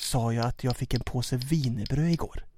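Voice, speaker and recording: A man's voice: medium pitch, background noise, lightly acted. An actor playing a chatty, anxious male sidekick in an audio drama.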